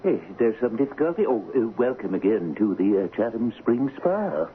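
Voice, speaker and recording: An actor playing a flustered, deferential older hotel manager in a radio drama, hesitant and apologetic. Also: male voice, mid-range voice, very animated, moderate pace, close to an everyday voice. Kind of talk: 1970s radio drama acting. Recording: lo-fi old radio broadcast, faint noise.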